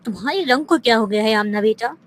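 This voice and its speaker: A woman playing a sharp-tongued, needling female relative in an animated moral story, with theatrical, pointed delivery. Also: on the high side, fast, lightly acted.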